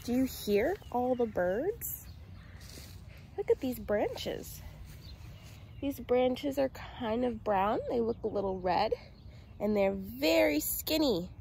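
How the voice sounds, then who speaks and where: A woman's voice: fairly high pitch, sing-song, slow pace, creaky, long pauses, a little mellow, slight background noise. A woman in her twenties talking to young children like a teacher on a nature walk, with simple sing-song phrases and questions, recorded outdoors on a handheld phone. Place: outdoors in a residential yard. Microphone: phone at arm's length.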